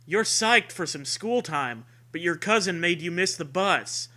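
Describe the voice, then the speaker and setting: Male comedy host, bright forceful delivery, unhurried pace, hammy read-aloud of parody fiction. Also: high-pitched, expressive. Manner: theatrical, breaks into laughter while reading.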